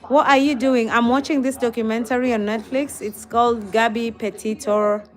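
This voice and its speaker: A woman's voice: fairly high pitch, flat delivery, moderate pace. A tired young woman talking casually on a livestream, greeting viewers and reading out their comments.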